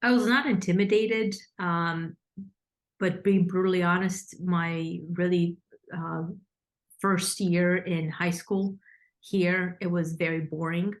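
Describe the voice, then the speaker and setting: A woman's voice: on the low side, steady tone, takes it slowly. A woman in her thirties from Romania, a financial consultant speaking as a guest in an interview, articulate but with many fillers and restarts. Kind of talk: podcast interview.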